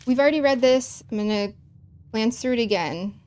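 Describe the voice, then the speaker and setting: A woman's voice: fairly high pitch, lively intonation, normal speed, a little mellow. A woman from the American Midwest with a Chicago accent, chatting casually while streaming a game, with long vowels and careful over-enunciation.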